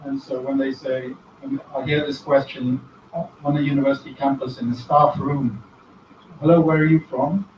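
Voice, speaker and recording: A man giving an academic talk, speaking reflectively and with irony, pausing often and restarting phrases. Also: mid-range voice, flat delivery, a little hiss or hum. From former British colony. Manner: reflective, ironic, many pauses and restarts. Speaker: guest lecturer. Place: lecture hall. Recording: echoey hall, distant mic, dull.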